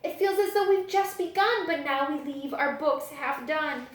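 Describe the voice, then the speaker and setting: A woman reading children's picture books aloud as a story-time librarian, with dramatic, expressive storytelling. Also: high-pitched, moderate pace. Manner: dramatic, animated storytelling delivery. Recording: echoey room.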